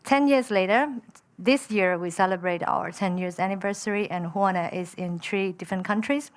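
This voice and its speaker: A woman's voice: medium pitch, moderate pace. Woman telling her founder story. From Malaysia. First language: Chinese. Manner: frequent fillers, lively and determined. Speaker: entrepreneur and panel speaker. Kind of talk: personal story told on a panel.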